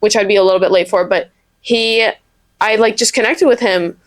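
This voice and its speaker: A woman's voice: mid-range voice, fairly even intonation, no room sound. A young adult woman whose first language is French, co-hosting a casual podcast and recalling family parties. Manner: conversational, halting with fillers. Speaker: podcast co-host, daughter. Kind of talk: casual chat.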